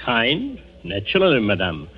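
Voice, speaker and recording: An actor playing a sceptical, officious German army lieutenant in 1940s radio drama, brusque and formal. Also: male voice, mid-range voice, huge pitch swings, unhurried, slightly rough, full character voice. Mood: sceptical, impatient. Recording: muffled 1940s radio broadcast.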